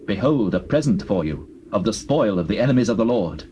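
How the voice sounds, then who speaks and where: An actor playing a firm, just warrior leader, declamatory and authoritative, in a dramatized scripture recording. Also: male voice, fairly low pitch, animated, brisk, warm tone, close to an everyday voice. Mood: proclaiming. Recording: hissy old cassette tape recording.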